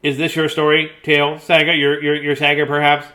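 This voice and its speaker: A man's voice: on the high side, completely flat delivery, moderate pace, pushed and bright. A man voicing a blustering salty old storybook pirate, growling in archaic pirate talk.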